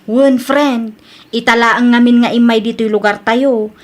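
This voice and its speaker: A woman's voice: a little high, fairly even intonation, measured pace, lightly acted. A woman with an Ilocano Filipino accent playing a chatty young daughter and friend in a radio comedy drama. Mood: confiding, sympathetic.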